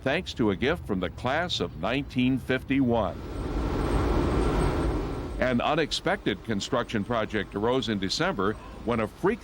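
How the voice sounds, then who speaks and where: A man's voice: medium pitch, a little hiss or hum. A man reading scripted newsreel narration as the narrator. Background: music.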